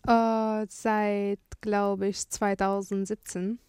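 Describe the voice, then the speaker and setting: A woman from Syria, a TV journalist speaking as a radio guest, thoughtful and pausing often to find words. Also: medium pitch, little pitch movement, darkish tone, smooth. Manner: thoughtful, searches for words with frequent hesitations. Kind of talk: radio interview.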